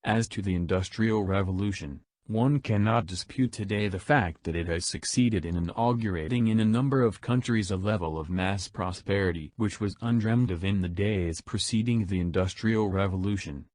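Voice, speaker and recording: Lowish-voiced man reading aloud. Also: normal speed, hardly any pauses, darkish tone, dry recording, studio quality. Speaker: exam practice reader. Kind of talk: read-aloud scripted passage.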